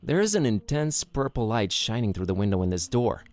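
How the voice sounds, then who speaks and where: A man playing a wry, casual, sarcastic hero in an animated adventure game, speaking in an offhand way. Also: lowish voice, a little fast, faint music behind. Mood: wry observing. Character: wry, casual, sarcastic out-of-town adult male sleuth hero.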